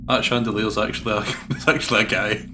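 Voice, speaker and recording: A man's voice: on the low side, steady tone, quick pace, pushed and bright, a little hiss or hum. A man co-commentating on a game in a casual, crude, joking style.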